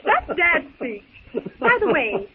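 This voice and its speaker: Old radio ingénue: teasing young daughter, extremely high lilting voice, very noisy thin recording. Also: female voice, big pitch swings, slow, phone-quality sound, heavily acted. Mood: bright, teasing. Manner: giggly, teasing. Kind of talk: 1930s radio serial acting.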